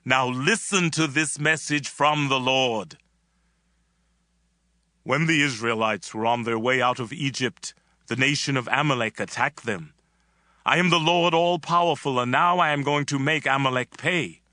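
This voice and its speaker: A man's voice: mid-range voice, a little slow, bright, forceful tone, no room sound, studio quality. A man playing a grave, stern prophet who delivers divine messages in a dramatized audio Bible. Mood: solemn.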